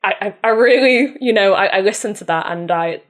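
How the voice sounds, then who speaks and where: A woman from Manchester with a softened Mancunian accent, talking reflectively as a guest in a conversational interview. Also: medium pitch, a little fast. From Manchester, England. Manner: reflective, soft-spoken, frequent "mm" and "yeah". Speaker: podcast guest.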